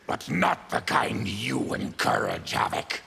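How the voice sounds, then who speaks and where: An actor playing a stern, wary protector of his people in a fighting game, with a hoarse rasping growl. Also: on the low side, big pitch swings, takes it slowly, heavily acted. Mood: stern, accusing. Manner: stern, forceful. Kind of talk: fighting game character dialogue.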